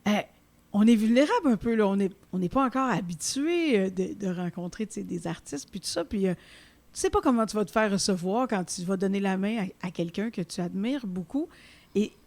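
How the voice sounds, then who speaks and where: A woman hosting an interview podcast, speaking with a Québécois French accent, effusive and quick to laugh. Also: mid-range voice, animated, a little mellow. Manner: effusive, laughs often, many restarts. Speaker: podcast host. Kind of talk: warm conversational interview.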